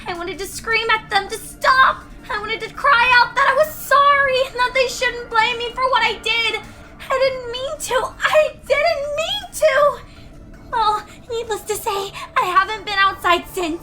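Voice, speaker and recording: A woman voicing a guilt-ridden, paranoid young pony student, reading her confessional letters in an anguished, highly emotional way as she unravels. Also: a little slow, soft background music, heavily acted. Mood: hysterical, sobbing, then haunted, despairing. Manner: anguished, highly emotional, swinging from hushed to screaming.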